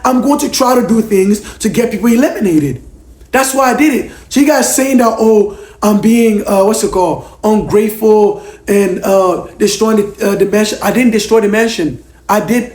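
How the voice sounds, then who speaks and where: Young male vlogger, hoarse and losing his voice, high-pitched, emphatic Q&A delivery, echoey room. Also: darkish tone. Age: young adult. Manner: emphatic, keeps tagging on "okay?". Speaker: former reality show contestant. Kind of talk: casual Q&A vlog. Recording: roomy echo.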